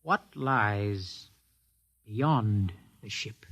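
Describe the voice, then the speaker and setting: Husky, impetuous young rebel. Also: male voice, lowish voice, lively intonation, very slow, a bit bright, full character voice. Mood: probing. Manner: intense, insistent. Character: bold, impetuous, questioning young male rebel. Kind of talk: vintage radio drama acting.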